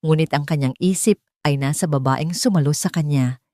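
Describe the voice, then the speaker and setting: A woman's voice: low-pitched, normal speed, mellow, dry recording, clean studio sound. A woman narrating an audiobook in a dramatic, scripted storytelling style.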